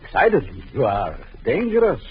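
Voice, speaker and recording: An actor playing a cruel, vengeful doctor in an old radio drama, taunting in a slow, sinister way. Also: male voice, fairly high pitch, wildly swooping pitch, husky, heavily acted. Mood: mocking. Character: vengeful jealous male doctor, gloating and cruel. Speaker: villain. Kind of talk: 1940s radio acting. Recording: muffled old radio recording.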